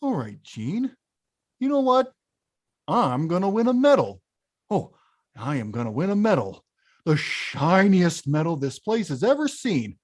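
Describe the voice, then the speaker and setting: An actor playing a big, frustrated and stubborn video-game bad guy who craves respect, with emphatic, blustering delivery in an animated film table read. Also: mid-range voice, unhurried. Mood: indignant, defiant.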